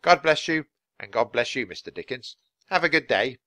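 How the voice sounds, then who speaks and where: A man's voice: mid-range voice, expressive, normal speed, bright, forceful tone, no room sound, voice pushed up. A man acting a humbled, formal policeman. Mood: courteous. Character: humbled, courteous policeman, contrite and well-wishing.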